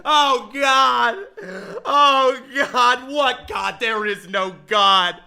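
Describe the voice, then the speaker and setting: High-pitched, theatrical dying believer. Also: male voice, steady tone, drawn-out words, vocal fry, pushed and bright, heavily acted. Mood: hysterical panic. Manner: melodramatic, exclamatory, wailing and gushing by turns. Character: dying man of faith in desert, theatrical, swings panic to wonder. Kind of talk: comic audio play acting.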